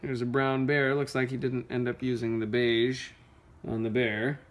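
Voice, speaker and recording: A woman with a low voice reads a picture book to children at home. She chats casually between passages and asks simple questions. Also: level tone, moderate pace. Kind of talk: casual storybook read-aloud with questions. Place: carpeted room at home.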